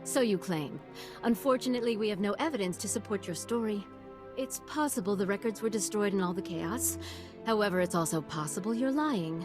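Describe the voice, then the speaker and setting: Game colonel female actor: mid pitch, formal, clipped, fair but firm, music underneath. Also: lively intonation, fairly quick, close to an everyday voice. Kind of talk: video game dialogue.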